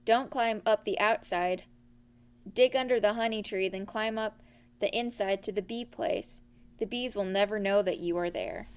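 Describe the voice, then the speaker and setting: A woman voicing a bossy gopher from a storybook, brisk and chiding as he hands out advice. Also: medium pitch, smooth, natural voice. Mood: scolding, brisk. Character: bossy, scolding male gopher full of advice.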